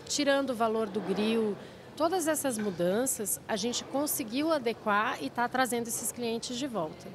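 A woman's voice: on the high side, measured pace. A woman in her thirties with a Brazilian accent answering an interview on a handheld mic in a restaurant. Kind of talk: on-the-spot interview. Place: busy buffet restaurant. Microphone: handheld reporter mic. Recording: faint restaurant background noise.

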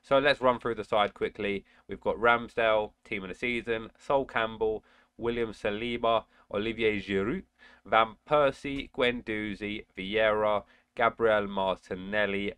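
Casting: young man, nasal from a cold, lowish voice, gaming commentary with sudden excited shouts. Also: a little slow, clear, resonant tone, no echo. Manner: excitable outbursts during play, muttering between. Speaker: gaming channel host. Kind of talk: casual gameplay commentary. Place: indoor room at home.

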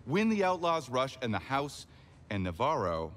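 An actor playing an intense, scheming, persuasive casino owner in a video game. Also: male voice, mid-range voice, big pitch swings, moderate pace, fairly bright tone.

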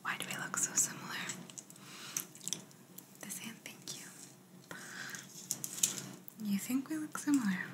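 A young woman playing a smug, chatty alien examiner in a soft-spoken ASMR roleplay, matter-of-fact and condescending, close to the microphone. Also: fairly high pitch, a little slow, pauses often, close to an everyday voice. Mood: clinical, condescending. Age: young adult. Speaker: ASMR creator.

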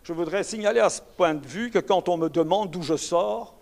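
A man's voice: high voice, a little fast, vocal fry, a little mellow. An older man with a Belgian French accent giving a public lecture, emphatic and full of rhetorical asides to his audience. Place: lecture hall.

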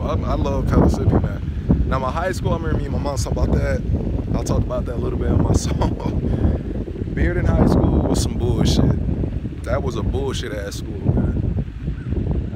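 A young man from Tennessee vlogging outdoors on a college campus, rambling loosely and swearing freely into a phone held at arm's length. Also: medium pitch. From Knoxville, Tennessee. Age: young adult. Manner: loose, profane, rambling talk. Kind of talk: casual vlog rant. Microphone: phone camera held at arm's length. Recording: outdoor phone audio with clear background noise.

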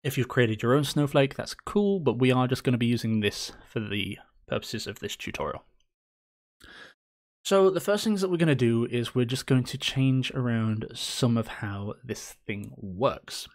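A man hosting a screen-recorded game engine tutorial, chatty and upbeat with playful little cheers, his voice slightly hoarse from a coming cold. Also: mid-range voice, lively intonation, normal speed.

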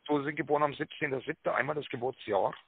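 A man giving a rehearsed telephone sales pitch, full of tag words and hesitations, with a raspy voice. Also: very fast, dry recording. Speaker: telemarketing caller pitching a prize draw. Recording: narrow tinny phone line.

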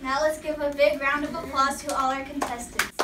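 A woman's voice: high voice, level tone, fairly quick, distant mic, background noise. A young girl hosting a homemade contest video in a classroom, with cheerful scripted announcing and playful asides. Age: child. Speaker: host of a kids' contest video. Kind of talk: scripted presenting. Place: classroom with whiteboard. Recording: echoey classroom, camera from off.

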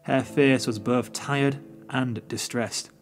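A man's voice: medium pitch, fairly even intonation, slow pace. Man narrating a story over music.

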